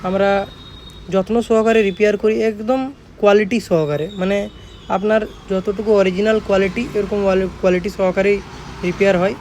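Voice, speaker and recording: A man's voice: sits high, fairly even intonation, a little fast, a little mellow, a little hiss or hum. A man with a West Bengal accent giving casual vlog commentary as a workshop owner, repeating his points and often checking for agreement. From Jhargram, West Bengal, India. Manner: repeats points, often adds tag phrases seeking agreement. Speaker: speaker workshop owner presenting a video.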